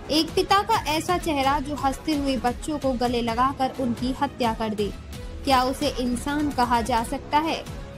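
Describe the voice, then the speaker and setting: A woman reads a scripted crime news story in a dramatic, emphatic narrator's style. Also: high voice. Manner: emphatic, dramatic delivery with rhetorical questions.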